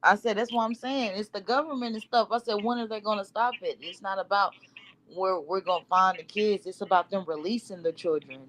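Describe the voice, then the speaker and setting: A woman hosting an online call-in chat, casual and quick to agree with her guests. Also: medium pitch, steady tone, a bit bright, poor audio. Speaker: host of a call-in talk show.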